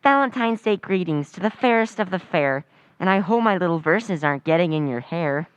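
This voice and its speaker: An eager teenage boy, as acted by a woman from an old-time radio comedy. The voice sits fairly low and chatters with earnest, florid politeness. The recording is close and dry. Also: expressive, brisk, smooth, dry recording, close to an everyday voice, poor audio. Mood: cheery, eager. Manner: earnest, gushing, boyish.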